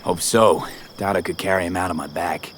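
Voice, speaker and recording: A man's voice: low-pitched, steady tone, fairly quick, slight background noise, close to an everyday voice. A man playing a dry, laconic mercenary hero in a video game, speaking tersely and wryly. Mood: matter-of-fact. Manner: terse, wry.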